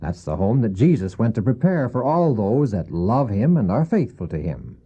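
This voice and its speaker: An actor playing a gentle, weary widowed father in a radio drama, speaking tenderly and haltingly to his young son. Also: lowish voice, very animated, slightly rough, heavily acted. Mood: tender, earnest. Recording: dull old cassette audio.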